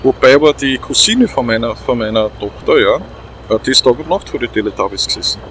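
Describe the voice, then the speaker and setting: Austrian man chatting over steady noise. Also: medium pitch, fast, rough recording. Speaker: host of a gaming let's play. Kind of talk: casual chat commentary over a game.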